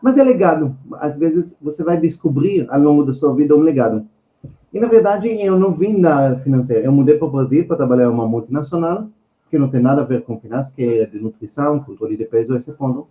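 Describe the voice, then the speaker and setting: Male podcast guest with an Israeli accent: mellow tone, emphatic conversational delivery, recorded in a roomy space. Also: fairly high pitch, animated, fairly quick, dull audio. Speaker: guest finance expert. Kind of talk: conversational podcast storytelling and advice.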